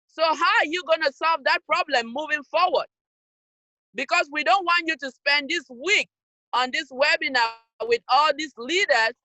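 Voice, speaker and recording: A woman speaks high and bright straight to young listeners. She presses each point with firm, scolding emphasis. The sound is close and dry, coming through a video-call mic. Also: no room sound, poor audio. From Cameroon. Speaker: youth program speaker. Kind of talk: motivational talk to young people.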